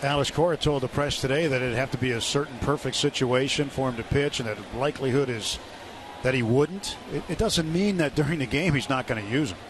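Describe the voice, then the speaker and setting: A man's voice: medium pitch, brisk. A man giving conversational live analysis as a baseball radio commentator. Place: stadium broadcast booth. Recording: loud ballpark noise behind the voice. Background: ambient stadium noise.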